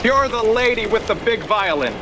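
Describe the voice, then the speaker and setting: A man's voice: sits high, expressive, normal speed, background noise. A man playing a brash, self-important figure in a motion comic, with pompous, theatrical delivery. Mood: showy, chatty. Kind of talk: animated motion comic acting.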